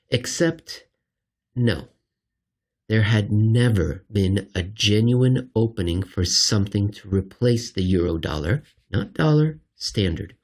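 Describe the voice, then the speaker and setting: A man hosting a reading of a written commentary, narrating with theatrical, wry emphasis, deliberate pauses and casual asides. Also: on the low side, a little mellow, husky. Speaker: host reading an article aloud.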